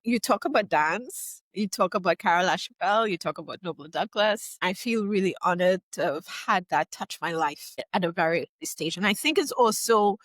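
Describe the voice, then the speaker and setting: A woman from Trinidad hosting a podcast, speaking in a measured, earnest way. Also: medium pitch, expressive, a bit bright, no echo. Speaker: podcast host. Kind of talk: scripted introduction then casual conversation.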